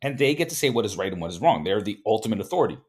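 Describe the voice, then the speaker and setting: A man's voice: lowish voice, very animated, rapid-fire, darkish tone, breathy edge. A man reading a history book aloud, stumbling and repeating words now and then, and breaking off into casual spoken asides. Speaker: host reading from a book and commenting.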